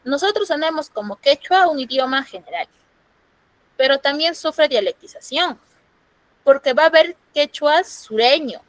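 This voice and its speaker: A woman's voice: sits high, animated, normal speed, a bit bright, no room sound, low-quality recording. A woman from Peru teaching an online class in Peruvian Spanish, explaining step by step and often checking in with "¿no?". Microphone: computer microphone on a video call.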